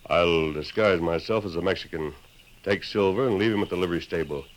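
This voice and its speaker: An actor playing a calm, resolute masked cowboy hero with measured, formal authority in a 1950s radio western. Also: male voice, low-pitched, natural voice. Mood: determined. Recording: faintly noisy old radio recording.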